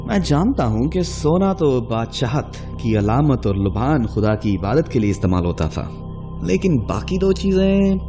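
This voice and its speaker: An actor playing a polite, thoughtful taxi driver who thinks aloud as a quiz show contestant in a radio play. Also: male voice, mid-range voice, sing-song, over music. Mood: earnest, pondering. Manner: pondering aloud, polite and deliberate.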